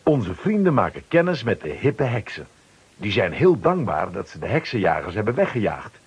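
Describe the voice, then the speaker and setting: Very raspy, expressive male storyteller. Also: on the low side, moderate pace. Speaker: narrator. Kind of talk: scripted storytelling for children's radio play.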